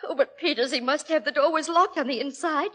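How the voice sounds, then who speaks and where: A high, bright young woman's voice with a British accent. She is a sensible upper-class daughter in an old radio drama, brisk and soothing with her family. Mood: distressed. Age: young adult. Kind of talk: old-time radio acting.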